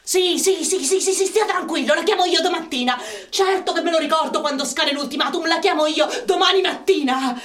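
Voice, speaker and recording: A woman's voice: very high-pitched, fairly quick, hardly any pauses, fairly bright tone. A woman playing a blunt, streetwise Roman woman in a tragicomic radio play, with a colloquial delivery. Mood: defensive, uneasy. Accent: Roman Italian. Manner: brash, colloquial. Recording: roomy, slightly distant microphone.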